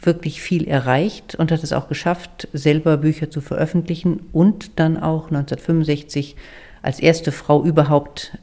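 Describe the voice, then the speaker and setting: A woman project member giving a measured statement in an interview. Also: low voice, fairly even intonation, mellow.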